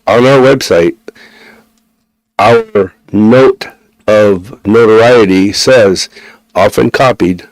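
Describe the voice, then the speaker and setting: A man's voice: lowish voice, slow pace, long pauses, no echo, rough recording. A man hosting a live psychic radio show, chatting in an easygoing way with many ums and restarts. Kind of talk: casual chat. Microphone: live-stream studio mic.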